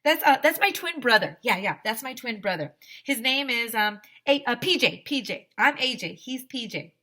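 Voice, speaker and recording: A young schoolboy from a storybook, acted out by a woman, fibbing nervously. The voice is bright and pushed higher, with hesitant, stammered excuses. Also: animated, normal speed. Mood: nervous, evasive. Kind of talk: children's chapter book read-aloud.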